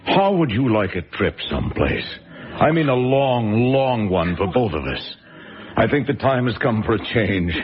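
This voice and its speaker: A man's voice: mid-range voice, big pitch swings, moderate pace, highs cut off, lightly acted. An actor playing a groggy, hesitant man in a radio drama, dreaming of leaving town. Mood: wistful.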